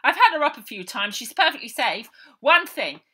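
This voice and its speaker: A woman's voice: sits high, sing-song, moderate pace, pushed and bright, breathy edge, pitched above the performer's own voice, heavily acted. A woman voicing a confident male pilot in a storybook, commanding and encouraging. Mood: urgent, commanding. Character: brisk, confident, reassuring injured male pilot.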